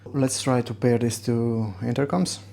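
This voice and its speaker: Mellow, gravelly Romanian-accented man. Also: medium pitch, steady tone, a little hiss or hum. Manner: halting, searching for words. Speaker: motorcycle gear reviewer. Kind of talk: casual unboxing and demo talk.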